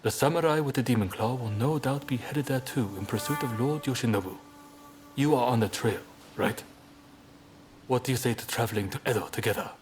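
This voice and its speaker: Encouraging samurai-era ally, a man acting, mid-pitched. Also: a little fast, slight background noise, close to an everyday voice. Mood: confident, thoughtful. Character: confident, comradely samurai-era ally rallying a fighter. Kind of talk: dramatic video game cutscene acting.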